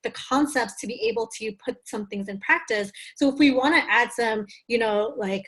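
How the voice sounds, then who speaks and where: A woman's voice: a little high, normal speed, a bit bright, breathy edge. Earnest woman on a video call. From Bangladesh. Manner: earnest, thoughtful, frequent "like" fillers. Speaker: wellness teacher on a panel. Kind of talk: webinar panel answer.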